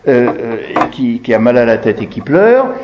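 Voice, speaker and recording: A man's voice: medium pitch, very animated, normal speed, lo-fi sound, background noise. A man giving an explanatory talk as a lecturer, didactic, posing questions and answering them, repeating key phrases for emphasis. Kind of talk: explanatory talk, commenting on quoted text.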